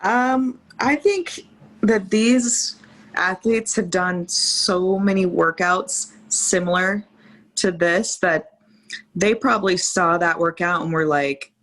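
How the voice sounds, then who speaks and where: A woman guest on a podcast chat, her voice sitting fairly low for a woman. She talks in a loose, chatty way, filling her sentences with "like". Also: slow, a bit bright, low-quality recording. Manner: chatty, heavy use of "like". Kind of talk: casual chat.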